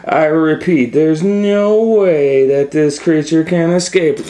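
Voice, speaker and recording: A man's voice: fairly high pitch, takes it slowly, mellow, rough recording. A man reading a children's story aloud as a storytime host, with theatrical, suspenseful dramatic narration.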